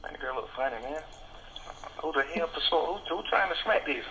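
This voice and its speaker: A man's voice: on the high side, lively intonation, a little fast, a loud crowd. A man chatting casually and crudely on a recorded jail phone call, mocking and repeating himself in slang. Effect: telephone sound.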